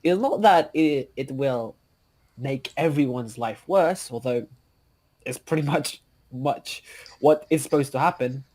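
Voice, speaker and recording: A man reading as the solemn storybook narrator of a fantasy epic, earnest, declamatory and a little halting. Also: mid-range voice, a little mellow, close to an everyday voice.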